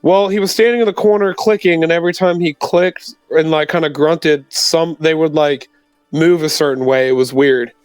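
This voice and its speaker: A man playing a tabletop roleplaying game, speaking casually in character and rambling haltingly. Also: on the high side, fairly even intonation, clean tone, no room sound, faint music behind.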